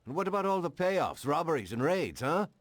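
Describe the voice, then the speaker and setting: An actor playing a cynical, righteous police detective in a video game, sarcastic and challenging. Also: male voice, a little high, sing-song, fairly quick, no echo. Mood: indignant.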